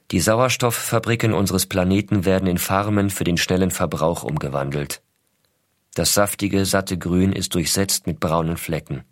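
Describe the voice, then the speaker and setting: A man playing an affectionate astronaut father, reading his letters in a measured, reflective way. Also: low voice, no echo, studio quality. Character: male American astronaut, affectionate father writing to small son. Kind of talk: scripted first-person letter narration.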